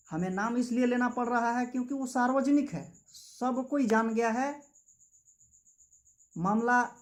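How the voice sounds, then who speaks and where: A young man with a Jharkhand Hindi accent giving an impassioned political speech as a student activist, with repetitive rhetorical phrasing. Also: very high voice. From Jharkhand, India. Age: young adult.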